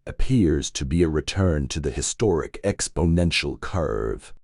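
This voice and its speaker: A man's voice: rumbling low, animated, unhurried, gravelly, darkish tone, no room sound, clean studio sound. A man reading scripted practice passages aloud in a test-preparation lesson.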